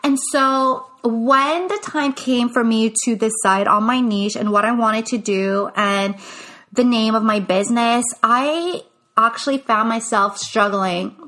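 A woman's voice: a little high, a little slow, a bit bright. A young woman from Quebec, a business coach, chatting casually on her podcast with many "like" fillers and restarts. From Quebec, Canada. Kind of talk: casual solo podcast talk.

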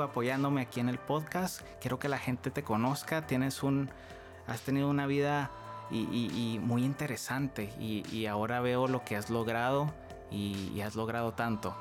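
A man hosting a podcast, welcoming his guest enthusiastically and asking friendly questions in a casual interview. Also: mid-range voice. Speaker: podcast host.

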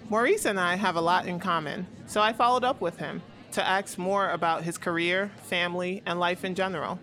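A woman with a fairly low voice hosts a podcast. She introduces her guest and then asks gentle, measured questions in an unhurried delivery. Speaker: podcast host and interviewer. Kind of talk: scripted podcast hosting.

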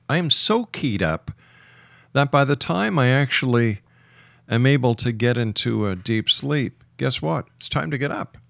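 A man's voice: mid-range voice, gravelly, a bit bright, dry recording. A middle-aged man hosting a radio interview in a casual, chatty way, repeating phrases for emphasis. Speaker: radio show host.

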